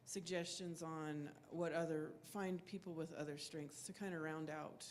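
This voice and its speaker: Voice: mid-range voice, fairly even intonation, normal speed. An audience member speaking from the floor of a workshop, with faint room noise underneath. The delivery is chatty and stumbles over repeated words. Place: conference room.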